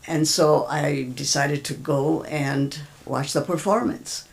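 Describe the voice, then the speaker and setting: Gravelly older woman telling a rambling story. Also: sits low, slow. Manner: hesitant, rambling storytelling with many fillers. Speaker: event coordinator. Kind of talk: casual interview talk.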